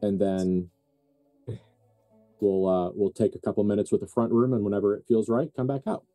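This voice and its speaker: A man with a very dark, mellow, lowish voice runs a tabletop roleplaying game. He narrates off the cuff in deliberate, thoughtful phrases with pauses for emphasis. The sound is close and dry, with faint music underneath. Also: steady tone, no room sound. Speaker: game master.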